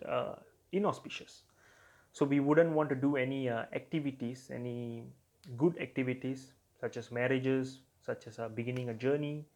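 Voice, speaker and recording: Hesitant man explaining. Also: medium pitch. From Singapore. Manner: hesitant, frequent filler sounds and restarts. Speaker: astrology presenter. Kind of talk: explanatory talk to camera.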